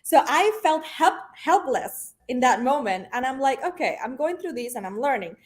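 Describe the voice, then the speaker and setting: A woman's voice: high-pitched, very animated, normal speed. A woman in her thirties with a Venezuelan Spanish accent, a native Spanish speaker, talking as a guest in a casual, upbeat interview, with many "honestly" and "um" fillers. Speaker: guest, realtor.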